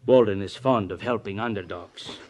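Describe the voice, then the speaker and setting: A stern Soviet agent acted by a man who addresses his underlings with deliberate, condescending authority. His menace is controlled, in the style of a 1950s radio play, on a vintage recording. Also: on the low side, darkish tone, close to an everyday voice. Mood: stern, instructing. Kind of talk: 1950s radio drama acting.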